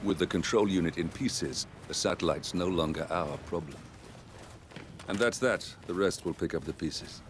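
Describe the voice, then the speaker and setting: An actor playing a grim, weary mercenary rebel leader in a video game, speaking in a terse, measured way. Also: male voice, low voice, background noise, lightly acted. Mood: calm. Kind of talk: cinematic game dialogue.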